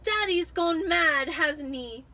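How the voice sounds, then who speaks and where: Woman as a high, whining spoiled boy. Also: slow, exaggerated voice. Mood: whiny. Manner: loud, whiny complaining. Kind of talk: dramatic storybook reading.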